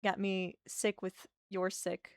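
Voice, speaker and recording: A woman with a slightly hoarse, gravelly voice chats casually with her stream as she draws. She often trails off into quiet muttering while she works. The sound is close and dry. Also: mid-range voice, flat delivery, takes it slowly, no room sound. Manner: chatty, thinking aloud, muttering to herself as she works. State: slightly hoarse from a sore throat. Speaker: art streamer. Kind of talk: casual livestream chat while drawing.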